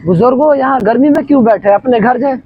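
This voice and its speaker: Man playing a kindly, high-voiced young helper. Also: husky, dry recording, highs cut off. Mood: concerned. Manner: earnest, respectful. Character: kindly, dutiful younger man taking in an elder. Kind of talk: Urdu moral audio drama acting.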